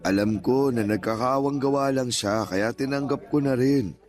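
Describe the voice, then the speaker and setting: A man's voice: mid-range voice, moderate pace, darkish tone, close to an everyday voice. An actor playing a kindly, talkative older father and former street vendor in a Tagalog radio drama, plainspoken and folksy. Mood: warm, reflective.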